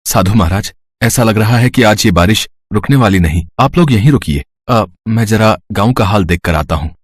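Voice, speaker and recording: A man voicing a kind, humble young village woodcutter in an animated story, speaking earnestly and devoutly. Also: fairly low pitch, moderate pace.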